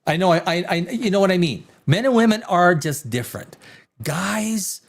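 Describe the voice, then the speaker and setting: A middle-aged man from Canada hosts a casual talk show in a rambling, animated way, often restarting his sentences. His voice sits a little high for a man and swings widely in pitch, and he sometimes drops to a hushed near whisper. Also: moderate pace, creaky. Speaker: host.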